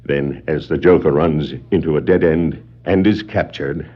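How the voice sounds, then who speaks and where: An actor narrating a scripted 1960s superhero adventure record as a dramatic, portentous storyteller. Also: male voice, a bass voice, moderate pace, soft background music. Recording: muffled 1960s vinyl record.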